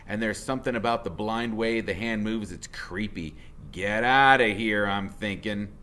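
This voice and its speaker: A man narrating a first-person story aloud in an expressive, dramatic way. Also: fairly low pitch, moderate pace, slight background noise. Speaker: audiobook reader, teacher.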